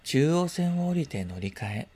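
A man reading a first-person literary story as scripted narration, in a quiet, reflective, measured manner. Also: a little high, sing-song, husky. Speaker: story reader.

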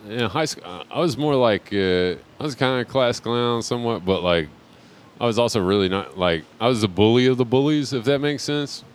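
A man's voice: mid-range voice, normal speed. A young man in casual podcast chat, laid-back and profane, rambling with restarts. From Pensacola area, Florida.

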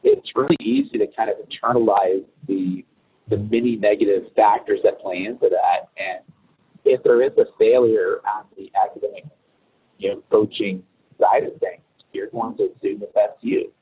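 Through thin, phone-like audio, a man with a very husky, rough voice talks on the low side. He explains his opinion in a measured, reflective, conversational way.

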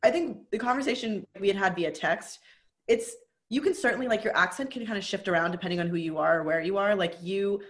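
A woman co-hosting a podcast, talking in a chatty, animated way and laughing often. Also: mid-range voice, fairly quick. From from an Indian family. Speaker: podcast co-host. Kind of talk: casual chat.

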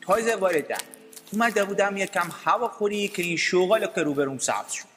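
A man's voice: high voice, a bit bright. A man playing a naive, gullible rooster with a folksy Yazdi accent in a children's radio play. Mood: chatty, wary. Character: naive, gullible, lovelorn rooster, a stranger from Yazd. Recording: reverberant, plucked-string music behind.

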